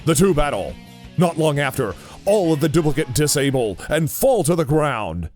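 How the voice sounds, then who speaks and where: A man's voice: a little high, sing-song, normal speed, a little mellow. A man narrating a scripted audio drama story. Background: music.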